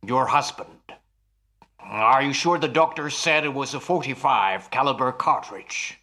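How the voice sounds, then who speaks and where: A man's voice: mid-range voice, measured pace, sharp tone. A man playing a serious nightclub mind reader in a radio thriller, intense and commanding as he gives instructions. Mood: grave, decisive. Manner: intense, directive.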